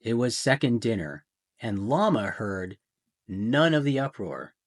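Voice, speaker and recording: A man reading a children's picture book aloud in a theatrical way, with deliberate pauses and emphasis. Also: medium pitch, sing-song. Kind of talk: dramatic children's storybook narration.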